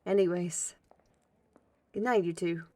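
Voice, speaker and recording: A woman's voice: fairly low pitch, steady tone, a little slow, mellow, natural voice. A woman voicing a weary female steward who talks in a subdued, deflecting way.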